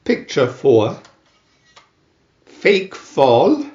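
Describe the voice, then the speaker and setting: A man reading a comic adventure booklet aloud as a dramatic narrator, announcing each part with theatrical pauses. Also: fairly high pitch, wildly swooping pitch, darkish tone, reverberant, highs cut off.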